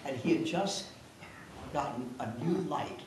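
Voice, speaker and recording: Animated woman in her nineties, very echoey room. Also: low voice, measured pace. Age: 90s. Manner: animated, anecdotal, given to laughing. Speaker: guest of honour sharing family memories. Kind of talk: casual family storytelling. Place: echoing room at a family gathering. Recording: very echoey room, distant mic, noisy.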